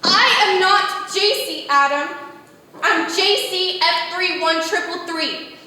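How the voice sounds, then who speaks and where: A woman's voice: very high voice, slow pace, sharp tone, heavily acted. A woman voicing an articulate, pleading android woman built to look nineteen, heard distant with a long echoey reverb. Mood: earnest. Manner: articulate, pleading, increasingly distraught.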